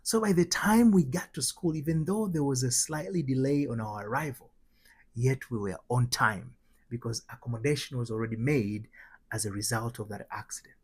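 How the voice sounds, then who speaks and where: A man's voice: medium pitch, normal speed, slightly rough. A man from Tanzania with a Tanzanian accent, giving motivational spiritual teaching in an emphatic, repetitive way with rhetorical questions. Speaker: host, spiritual transformation coach.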